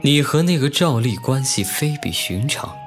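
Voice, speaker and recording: A man's voice: mid-range voice, animated, normal speed, close to an everyday voice. Man acting a proud young captive nobleman over music. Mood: teasing, bitter. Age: young adult. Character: proud young nobleman officer held captive, teasing and wistful. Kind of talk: ancient-costume audio drama acting.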